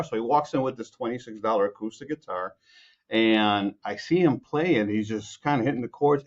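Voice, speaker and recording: A man's voice: lowish voice, lo-fi sound. A man from Chicago, a composer guest, answering interview questions in a rambling way with many restarts.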